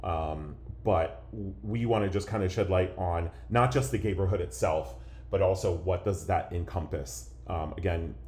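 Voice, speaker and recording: Low-voiced laid-back man in a roomy room. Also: a little mellow, a little hiss or hum. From Pennsylvania, USA. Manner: laid-back, joking, many fillers. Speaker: podcast co-host. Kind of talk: casual banter podcast chat. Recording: roomy echo.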